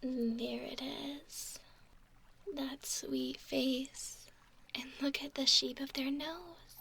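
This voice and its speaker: A gentle young mermaid played by a woman coos in a soft, breathy ASMR whisper. Her voice sits fairly high and drifts into creaky fry. She speaks very slowly, doting and soothing. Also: steady tone, a little hiss or hum, full character voice. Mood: fascinated, adoring. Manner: slow, soothing, cooing whisper and soft speech.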